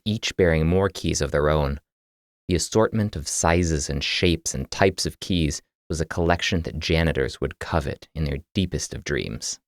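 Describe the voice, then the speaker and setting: A man's voice: low-pitched, no room sound, studio quality. A man reading scripted fiction as an audiobook narrator.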